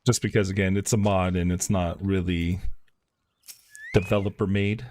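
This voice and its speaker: A man's voice: deep voice, level tone, moderate pace, a little mellow. A man streaming himself playing an online game, chatting casually with frequent fillers. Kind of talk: casual live-stream commentary.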